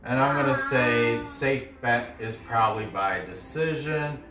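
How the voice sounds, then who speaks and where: Slow-talking sports betting host in echoey room. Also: medium pitch, over music. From born in Korea, grew up in New York. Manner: rambling, self-interrupting. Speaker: host giving betting picks. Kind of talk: casual fight betting commentary.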